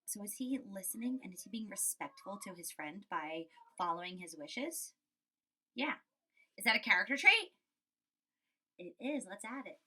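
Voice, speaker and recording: A woman's voice: mid-range voice, expressive, moderate pace, long pauses, bright, forceful tone, breathy edge, recorded from a distance. A woman teacher giving a reading lesson for young children, explaining things and often checking with a questioning tag. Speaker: elementary school teacher.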